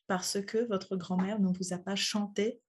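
Husky-voiced middle-aged woman, hesitant. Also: medium pitch, flat delivery, a little slow. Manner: thoughtful, hesitant, many fillers. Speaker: interviewee, mother. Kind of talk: casual interview.

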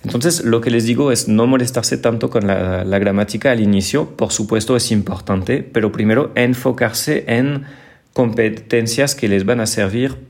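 A man's voice: mid-range voice, moderate pace, vocal fry, darkish tone. A man whose first language is French speaks Spanish with a marked French accent, answering listener questions on his podcast in a friendly, explanatory way with many small repetitions. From France. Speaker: podcast host and French teacher. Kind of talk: casual podcast Q&A.

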